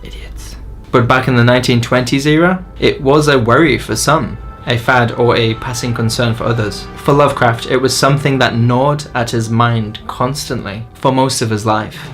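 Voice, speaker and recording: Casting: male book reviewer, average-pitched voice, keen and opinionated scripted narration, faint music bed.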